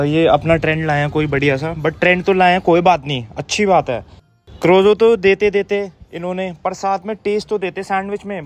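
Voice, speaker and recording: A young man vlogging a cafe review on a phone held at arm's length, talking in a brash, mocking, slangy way. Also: on the high side. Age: young adult. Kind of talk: casual cafe review vlog. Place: busy cafe indoors. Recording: loud music and crowd in cafe.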